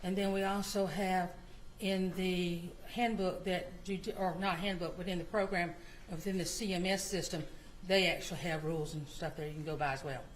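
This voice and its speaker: A woman's voice: on the low side, fairly even intonation, moderate pace, slight background noise, low-quality recording. A woman testifying as a court clerk, answering politely and matter-of-factly. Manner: polite, answers "yes, sir", matter-of-fact. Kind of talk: witness testimony. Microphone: courtroom microphone.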